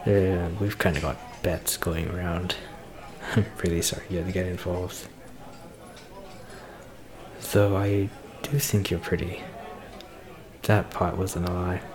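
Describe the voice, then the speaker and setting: A man acts out a shy male celebrity flirting with a stranger in an ASMR roleplay. His voice is deep and he takes his time, hesitating bashfully as he goes. A bar crowd chatters clearly behind him. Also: flat delivery. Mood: bashful, awkward. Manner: hesitant, halting, nervous soft laughs. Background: crowd noise of a bar.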